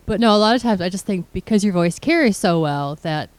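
A woman co-hosting a podcast in casual chat, speaking quietly and softly. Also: mid-range voice, expressive, brisk, no echo. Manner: quiet, soft-spoken. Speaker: podcast co-host.

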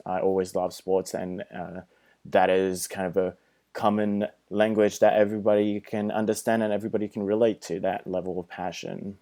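A man in his thirties from France with a French accent, telling a personal story as a podcast guest. He is thoughtful and earnest and often pauses with ums and restarts. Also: low-pitched, a little mellow. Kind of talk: storytelling in a casual podcast chat.

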